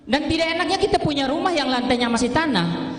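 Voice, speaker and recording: A young Eastern Indonesian man doing stand-up, his voice sitting high and ringing through a big echoey hall with a long tail of reverb. He delivers self-mocking punchlines with a punchy, deadpan edge and keeps appealing straight to the audience. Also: fast. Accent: Eastern Indonesian (Kupang). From Kupang, East Nusa Tenggara, Indonesia. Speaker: stand-up comedian. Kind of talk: stand-up comedy set. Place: large indoor hall on stage.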